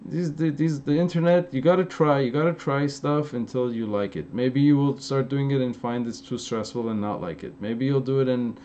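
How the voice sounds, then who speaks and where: A man's voice: mid-range voice, steady tone, normal speed, few pauses, dark tone. A young man from Los Angeles with an Armenian-influenced American accent, answering live chat questions in a loose, rambling way with lots of fillers and restarts. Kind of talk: casual live-stream Q&A. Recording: slightly dull, lo-fi stream audio with faint noise.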